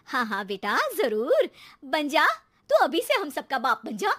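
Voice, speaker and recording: Woman acting a high-pitched, exasperated scolding mother. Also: big pitch swings, unhurried, fairly bright tone, full character voice. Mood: sarcastic anger. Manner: sarcastic, nagging, exclamatory. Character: exasperated scolding Indian mother and housewife, sharp-tongued. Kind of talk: animated story dialogue.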